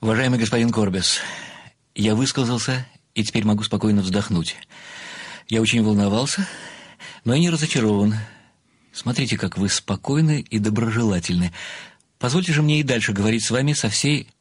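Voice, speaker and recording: An actor playing an obsequious, methodical amateur sleuth who speaks formally and deliberately, with flowery deference, in an audio play. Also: male voice, lowish voice, breathy edge, no echo. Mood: reverent. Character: obsequious, methodical amateur male sleuth, adoring a famous writer.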